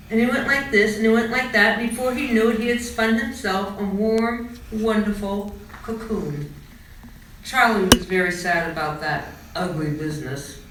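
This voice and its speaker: Female storyteller, very echoey distant recording, expressive children's read-aloud, dramatic delivery. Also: on the low side. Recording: echoey room, distant mic, faint noise.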